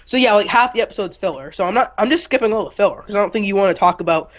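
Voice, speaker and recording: A man's voice: high voice, rapid-fire, fairly bright tone. Young male reviewer, blunt, opinionated, sniffly from a cold, casual chat. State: getting over a cold, sniffly. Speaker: co-host reviewer.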